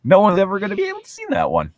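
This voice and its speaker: A man's voice: high voice, huge pitch swings, rapid-fire, no room sound, clean studio sound. A young man casually bantering and reading aloud in a mocking, sarcastic way, swearing freely. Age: young adult. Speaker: co-host reading and mocking a book.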